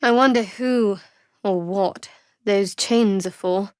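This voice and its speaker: An actress voicing a guarded, sardonic young woman cleric in a video game, with a dry, wry and measured delivery. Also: medium pitch, darkish tone, clean tone, no room sound, natural voice. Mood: pensive, quiet. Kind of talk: video game character voice acting.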